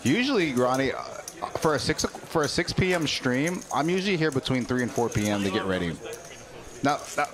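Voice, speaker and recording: A man's voice: medium pitch, lively intonation, fairly quick, a crowd in the background. A man producing and co-commenting on a live poker stream, talking in a rambling, chatty way and addressing viewers in chat from a production booth.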